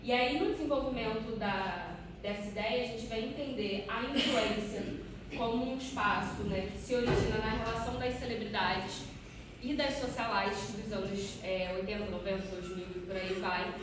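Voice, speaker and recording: A woman's voice: medium pitch, moderate pace. A young Brazilian woman giving an academic research presentation as a doctoral student, partly off the cuff, with informal asides and tag questions. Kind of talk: academic conference presentation, semi-spontaneous. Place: echoey indoor room at academic conference. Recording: echoey room, distant mic, clear noise.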